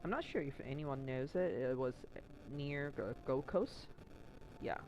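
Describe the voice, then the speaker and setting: A man's voice: medium pitch, measured pace, darkish tone, dull audio, a little hiss or hum. A man from Taiwan with a mixed Australian and Canadian accent, chatting casually on a stream while crafting, rambling with many restarts and fillers. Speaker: Twitch streamer.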